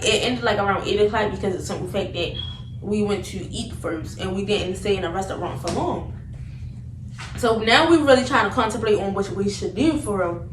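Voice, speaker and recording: Young woman doing casual storytime, slangy and animated, echoey and far from the mic. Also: mid-range voice. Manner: animated, slangy, repetitive retelling. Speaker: vlogger telling a story. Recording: roomy, distant mic, noticeable background noise.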